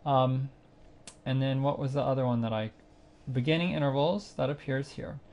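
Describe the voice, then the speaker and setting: A man narrating a screencast tutorial, explaining a music training website step by step in a plain, unhurried way with occasional fillers. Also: medium pitch, a little mellow. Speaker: site instructor demonstrating software.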